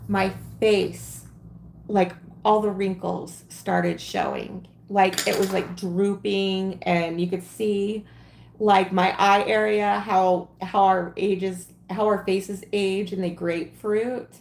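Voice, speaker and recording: A woman in her late forties chats her way through a crafting livestream, unhurried and rambling, full of ums and little giggles. Her mid-range voice carries an echo off the room around her. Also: medium pitch, fairly even intonation, vocal fry, darkish tone, a little hiss or hum. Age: 40s. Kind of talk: casual crafting livestream chat.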